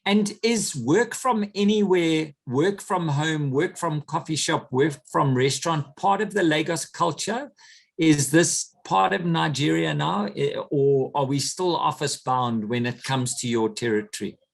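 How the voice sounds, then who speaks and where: A man hosts a podcast and interviews his guests. He is effusive and enthusiastic, his voice sits fairly high for a man, and he talks in quick bursts. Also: gravelly. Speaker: podcast host.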